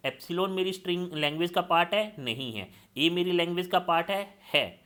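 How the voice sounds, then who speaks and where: A man's voice: high-pitched, brisk, a bit bright. Man teaching with quizzing questions. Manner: calls students "children" and checks they follow; steps through examples, asking and answering his own questions. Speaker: lecturer. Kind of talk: classroom lecture.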